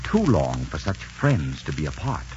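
An actor playing a suave, arrogant pirate leader in a 1940s radio drama. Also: male voice, a bass voice, big pitch swings, normal speed, darkish tone, slight background noise. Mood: smooth, sly. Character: swaggering, cynical pirate leader posing as respectable gentleman. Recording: muffled 1940s radio recording with music.

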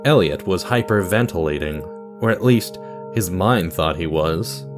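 Low-voiced male storyteller over guitar music. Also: expressive, a little slow. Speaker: narrator and announcer. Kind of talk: scripted dramatic story narration.

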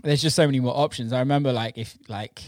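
A man's voice: medium pitch, steady tone, quick pace, no echo, studio quality. A British man chatting casually and informally, enthusiastic and given to swearing. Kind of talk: casual chat about food.

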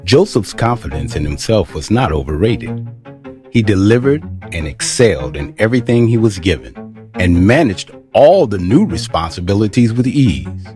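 A man narrating a scripted drama as a storyteller, adding wry commentary. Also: sits low.

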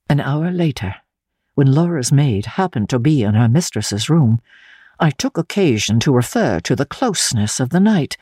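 A woman narrates a classic novel in a low, husky voice. Her delivery is measured and theatrical, with very expressive rises and falls. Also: no echo, studio quality. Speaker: audiobook narrator. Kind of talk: dramatic literary narration, first-person diary.

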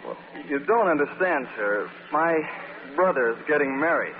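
An actor in a 1940s radio play, playing a reluctant, downcast young soldier with a hesitant, respectful manner. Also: male voice, fairly high pitch, expressive, a little slow, phone-quality sound. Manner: hesitant, deferential. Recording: thin, faintly crackly old radio recording.

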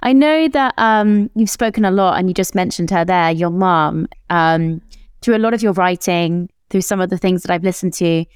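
A woman's voice: on the low side, moderate pace, smooth, no room sound, clean studio sound. A woman hosting a casual interview, asking reflective questions and relating her own experiences. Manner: reflective, relating, many fillers.

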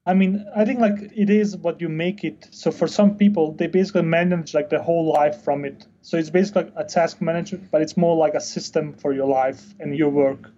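A man's voice: fairly high pitch, warm tone, muffled recording, slight background noise. A man from Denmark chatting casually as an interview guest, informal and peppering his speech with "like". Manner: informal, filler-heavy speech with frequent "like". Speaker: interview guest, software founder.

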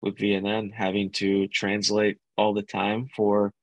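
Man guest, low flat voice, dry sound, earnest and halting. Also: no echo, low-quality recording. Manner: earnest, halting, with repeated restarts. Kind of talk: casual interview.

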